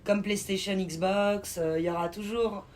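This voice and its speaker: Woman, Swiss French accent, streamer, casual banter, laughs a lot, mid-pitched voice, faint background hiss. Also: fairly even intonation, unhurried, a little mellow. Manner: bantering, laughs often, greets chat viewers. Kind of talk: casual live-stream chat.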